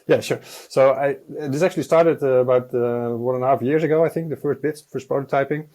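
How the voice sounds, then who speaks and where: A man in his forties speaks as a guest developer over a video call headset. His pitch sits in the middle of the range, and he talks low-key and unhurried, pausing often on fillers. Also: mellow. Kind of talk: casual interview answers. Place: home room, indoors. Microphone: earbud headset on video call.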